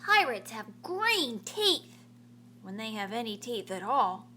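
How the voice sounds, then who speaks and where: A woman's voice: on the high side, very animated, takes it slowly, bright, forceful tone, slight background noise. A woman hosting a read-aloud show for children, narrating storybooks in a theatrical, playful and exaggerated way.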